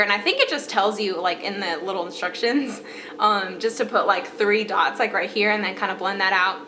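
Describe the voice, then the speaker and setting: A woman's voice: mid-range voice, fairly bright tone, a little hiss or hum. A young woman with a stuffy, congested voice chatting casually through her favorite makeup products, with lots of fillers and repeated praise. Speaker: beauty vlogger.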